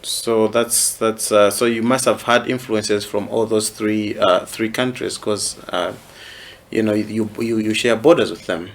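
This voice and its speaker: A man hosting an interview show, asking questions and dropping in quick, eager affirmations. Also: on the low side, steady tone, slight background noise. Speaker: talk show host. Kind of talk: conversational interview.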